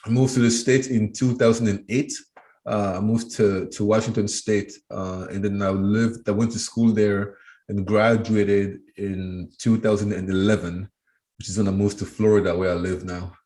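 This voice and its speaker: Man from Cameroon, low voice, thoughtful. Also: fairly even intonation, moderate pace, darkish tone. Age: 30s. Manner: thoughtful, with frequent restarts and repeated words. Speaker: guest, education trainer. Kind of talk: interview conversation.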